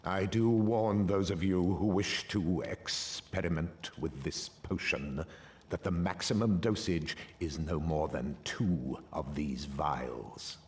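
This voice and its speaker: An actor playing a stern, formal potions professor in a video game, speaking in a measured, deliberate way. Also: male voice, deep voice, a little mellow. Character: stern, slow-drawling potions professor lecturing his class. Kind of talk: video game cutscene acting.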